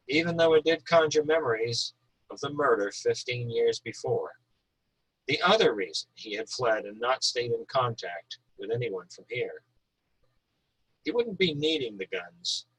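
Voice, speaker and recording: A man's voice: medium pitch, animated, moderate pace, a bit bright, breathy edge, low-quality recording. An American man from Northern Maine, an author reading prose and talking informally about writing. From Northern Maine, USA. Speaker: author reading and discussing their own thriller.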